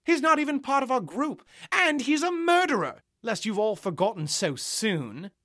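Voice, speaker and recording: A man voicing Chloe, an indignant, enraged woman who talks in a shrill, bossy, exasperated way. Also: very animated, moderate pace, sharp tone, dry recording, studio quality, heavily acted. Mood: outraged.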